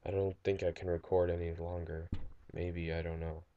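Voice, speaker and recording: A young man playing a traumatized survivor recording an audio log, halting and distraught, stammering and repeating himself in an amateur audio drama. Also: low-pitched, flat delivery, phone-quality sound, a little hiss or hum, natural voice. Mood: weary, pleading.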